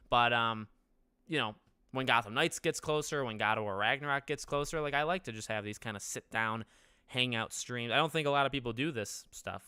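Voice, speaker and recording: A man's voice: medium pitch, bright, forceful tone, clear, resonant tone, no echo, clean studio sound. Nasal young man chatting on stream. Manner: conversational, rambling with restarts, asks chat questions. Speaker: gaming YouTuber streaming host. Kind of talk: casual live-stream chat reading comments. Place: living room with couch behind.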